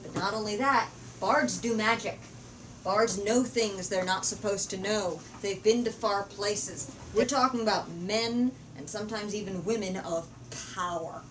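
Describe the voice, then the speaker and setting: A woman's voice: mid-range voice, unhurried, fairly bright tone. A woman leading a book talk, reading a story aloud and explaining it emphatically with questions. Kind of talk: storytelling and literature discussion. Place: bookstore. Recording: distant mic in noisy room.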